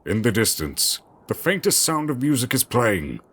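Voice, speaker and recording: A man's voice: mid-range voice, sing-song, normal speed, fairly bright tone. A man narrating an audio drama with dramatic scripted storytelling delivery. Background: music.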